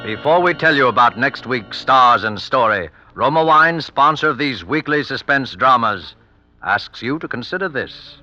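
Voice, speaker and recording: Male golden-age radio announcer, very sharp and forceful, dull vintage recording with music behind. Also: medium pitch, expressive, normal speed. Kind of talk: 1940s radio announcing, scripted.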